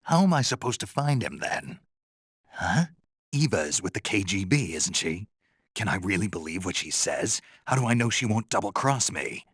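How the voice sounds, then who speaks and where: A man playing a gruff, terse American soldier on a covert mission in a video game, with a low, gravelly, husky voice. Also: very animated, fairly bright tone, dry recording, full character voice. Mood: wary, questioning. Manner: terse, deliberate, dry. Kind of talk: dramatic game voice acting.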